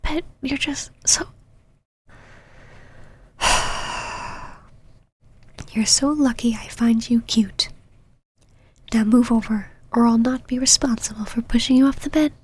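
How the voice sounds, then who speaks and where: A possessive vampire knight mistress, played by a woman in an ASMR roleplay, speaking in a high, breathy whisper. She takes her time, haughty on the surface and halting underneath, with a secretly tender streak. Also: darkish tone. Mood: grudgingly affectionate. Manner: haughty but flustered, halting, sighing. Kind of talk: intimate ASMR roleplay, close whispered acting.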